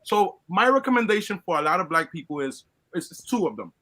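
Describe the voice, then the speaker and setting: A man's voice: high voice, big pitch swings, a little fast, pushed and bright. A man from Colombia joins a live stream to lay out his views in earnest, restarting his sentences as he goes. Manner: earnest, lecturing, many restarts. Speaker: guest caller. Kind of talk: casual opinion talk.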